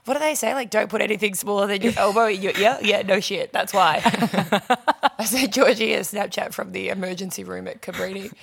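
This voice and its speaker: A woman's voice: medium pitch, a little fast, gravelly, slightly rough. A woman co-hosting a casual chat podcast, telling stories in a wry, self-deprecating way. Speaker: podcast co-host.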